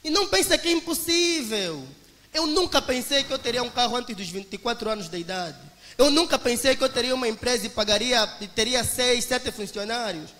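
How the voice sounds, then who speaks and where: A young man with an Angolan Portuguese accent speaks in a bright, ringing voice. He gives a forceful motivational testimony to a congregation, calling them brothers. His words carry a long echo from a church hall. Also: extremely high pitch, animated, fairly quick. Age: 20s. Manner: emphatic, preacher-like, addresses listeners as brothers. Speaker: young business owner giving a testimony.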